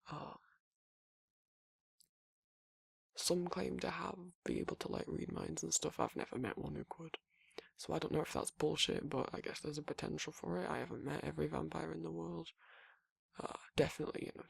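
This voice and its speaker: A man voicing a gruff, laid-back werewolf boyfriend in an intimate ASMR roleplay, with a husky gravelly voice and a halting, rambling, casual delivery. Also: a little high, no echo, full character voice. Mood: explaining, reassuring.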